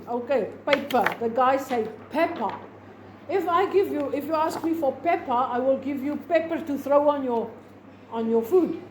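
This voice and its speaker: South african lady teaching class, high voice, lots of ums and restarts, echoey room w/ a bit of hiss. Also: a little mellow. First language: Afrikaans. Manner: rambling, with many restarts and fillers. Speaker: lecturer. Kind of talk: informal classroom lecture. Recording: echoey room with faint noise.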